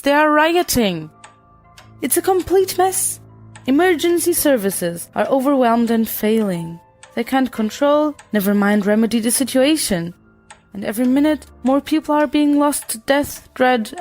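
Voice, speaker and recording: A woman's voice: sits high, a little mellow. A woman playing a loyal, outspoken housemaid in an audio drama, earnest and formal.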